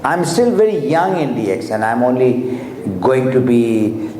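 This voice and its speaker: A man's voice: mid-range voice, animated, warm tone, a little hiss or hum. A man whose first language is Urdu or Hindi, giving a motivational seminar talk in English with many fillers and restarts, asking his audience questions directly. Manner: slow, deliberate, with frequent fillers and restarts. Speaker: motivational speaker. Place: large hotel seminar hall. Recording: echoey hall with faint ambience.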